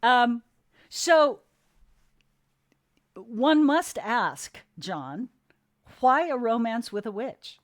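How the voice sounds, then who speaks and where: Female panel host, highish pitch, unhurried pace, playful teasing conversational tone. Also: animated, no room sound, clean studio sound. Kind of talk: casual chat.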